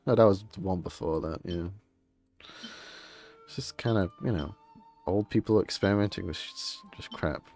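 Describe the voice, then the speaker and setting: A man's voice: sits low, normal speed, vocal fry, pauses often, a little mellow, soft background music. A man streaming a game and chatting casually, rambling through his opinions in an informal, grumbling way.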